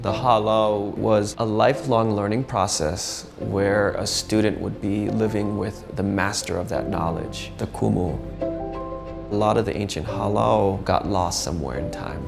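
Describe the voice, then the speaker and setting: A man's voice: fairly low pitch, a little slow, gravelly, over music. A man speaking as a language teacher and program founder in a documentary interview, reflective and earnest.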